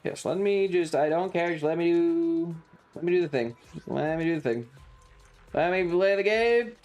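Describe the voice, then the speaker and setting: A woman livestreamer chatting casually over a video game in a blunt, sweary, deadpan manner, with game music behind her. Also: on the low side, moderate pace, lo-fi sound. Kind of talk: casual game streaming chat.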